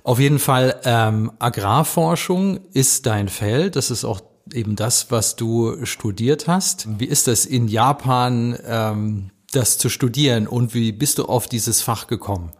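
A man's voice: mid-range voice, a little slow, few pauses. Friendly male interviewer. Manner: friendly, fluent questions with some fillers. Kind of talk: casual interview.

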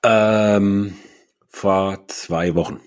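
A man's voice: on the low side, fairly even intonation, very slow. A man from Germany, a band musician, answering interview questions in an easygoing way and laughing readily. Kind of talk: casual interview chat.

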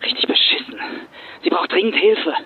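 A man playing a wary, worn-down young activist, husky and heard through a phone speaker in a naturalistic fiction podcast. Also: high voice, normal speed, whispered. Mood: grave, weary. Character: wary, worn-down male activist holed up in protest camp. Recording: dull, lo-fi phone loudspeaker.

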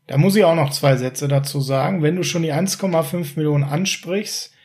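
Chatty German podcast host, slightly high voice. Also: male voice, fairly quick, darkish tone. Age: middle-aged. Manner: chatty and direct, speaks to listeners, tags "ne?". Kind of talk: casual sports podcast discussion.

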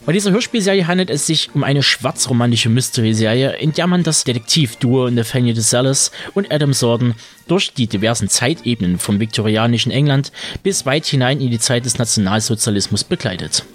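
A man's voice: medium pitch, expressive, fairly quick. A man presenting as a podcast host in a scripted narration style. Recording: music under the voice. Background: music.

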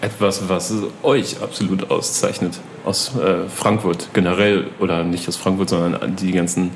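Man, interviewer, low voice, chatty, easygoing, faint noise. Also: level tone, normal speed, creaky. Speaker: interviewer, host. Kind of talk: casual interview chat.

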